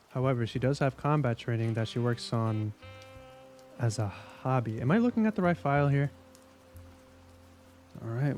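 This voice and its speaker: An actor playing a jaded veteran police investigator in an audio drama, wry and casual, with music behind him. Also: male voice, medium pitch, a little mellow, close to an everyday voice.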